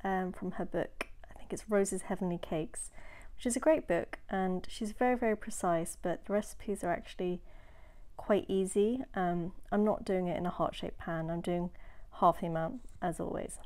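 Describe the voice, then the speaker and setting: A woman narrating a home baking video in a chatty, relaxed way, sounding a little nasal from a cold, sometimes dropping into soft whispered asides. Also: on the low side, normal speed. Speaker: home baker. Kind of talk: casual baking voice-over.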